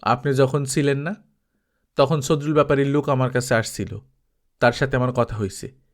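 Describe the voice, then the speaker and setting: A man voicing a hard, bitter young stage actress in a story reading, with a sharp, insistent, colloquial manner. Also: medium pitch, fairly even intonation, moderate pace, natural voice. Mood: bitter, defiant.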